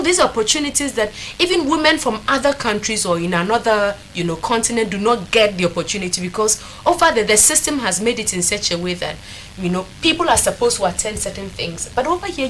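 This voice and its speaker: A woman's voice: on the high side, lively intonation, fairly quick, a bit bright, rough recording. A Ghanaian-accented woman speaking as a studio panelist in a reflective, motivational way, repeating phrases for emphasis. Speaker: talk show panelist. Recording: faint noise in studio recording.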